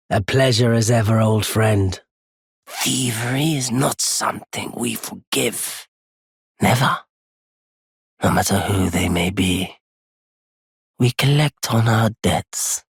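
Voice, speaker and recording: An actor playing a weary, courteous wandering merchant in a video game, with a husky, breathy, gravelly voice and measured, formal speech. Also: male voice, on the low side, dry recording, clean studio sound, exaggerated voice. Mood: wry, friendly, then grave, menacing. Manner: measured, hushed, formal wording. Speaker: merchant character. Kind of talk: dramatic video game voice acting.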